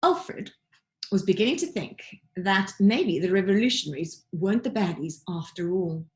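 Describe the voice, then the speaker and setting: Female storybook narrator, mid-pitched, teacher reading aloud to children with expressive, deliberate dramatic emphasis.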